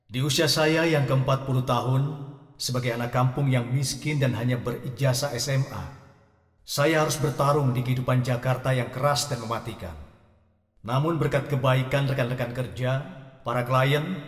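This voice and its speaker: A man's voice: mid-range voice, moderate pace, lightly acted. Male actor as humble middle-aged company president, formal emotional speech, long echoing hall reverb. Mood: solemn, grateful. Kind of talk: formal speech in an audio drama.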